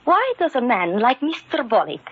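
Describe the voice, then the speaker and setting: An actress playing a worried, gently scolding immigrant wife, speaking formally in old-time radio drama style. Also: female voice, a little high, big pitch swings, normal speed. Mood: worried, reproachful. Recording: muffled, noisy old radio recording.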